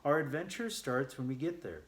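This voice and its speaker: A man's voice: mid-range voice, sing-song, normal speed, voice pushed up, natural voice. A man voicing a confident, knowing male desert guide in a children's storybook read aloud. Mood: warning.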